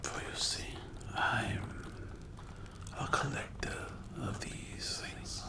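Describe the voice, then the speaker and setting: A performer voicing a menacing, taunting sleep paralysis demon in a breathy whisper for a dark fantasy ASMR roleplay. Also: roomy sound. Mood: sly, amused. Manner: slow, drawn-out, taunting delivery with rhetorical questions.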